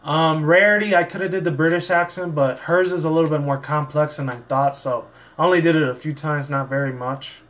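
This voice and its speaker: A man with a somewhat high-pitched voice reads and chats for a channel. He talks casually and rambles, often circling back over the same phrases. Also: steady tone, a little fast, few pauses, a bit bright. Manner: rambling, repetitive. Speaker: channel host and fan fiction reader. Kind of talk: casual vlog talk and story narration.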